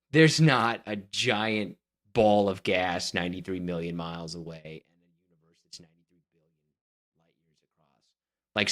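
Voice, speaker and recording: A man's voice: fairly low pitch, fairly bright tone. A man co-hosting a podcast, chatting casually and giving blunt, emphatic opinions. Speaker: podcast co-host. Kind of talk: casual chat.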